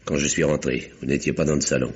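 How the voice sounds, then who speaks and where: Very deep-voiced, insistent old gentleman. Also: flat delivery, fast talker, slightly rough. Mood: probing. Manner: formal, articulate, probing. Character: earnest, insistent old uncle and gentleman friend. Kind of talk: old French radio drama acting. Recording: dull, noisy vintage radio recording.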